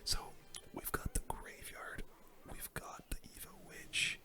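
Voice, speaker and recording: A man giving casual, chatty ASMR gameplay commentary in a breathy, unvoiced whisper, talking to viewers as a gaming video host. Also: takes it slowly.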